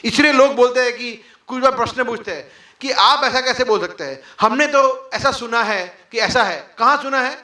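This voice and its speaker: A man's voice: extremely high pitch, moderate pace, bright, forceful tone, echoey room. A man leading an interactive spiritual class before a live audience, firing rhetorical questions and mock quotes, with English words mixed into his talk. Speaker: devotional class speaker.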